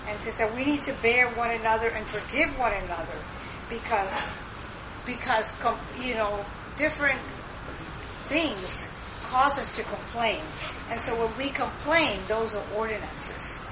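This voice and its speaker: A woman's voice: a little high, a little slow. A woman thinking aloud as she shares a reflection at a church meeting. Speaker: church member sharing. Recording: noisy recording.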